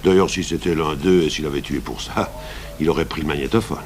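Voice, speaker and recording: Seasoned police commissioner, very low voice, terse and unhurried questioning, vintage radio crime serial. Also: male voice. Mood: brisk, authoritative. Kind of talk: 1980s French radio crime drama acting. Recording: faint noise, old radio broadcast recording.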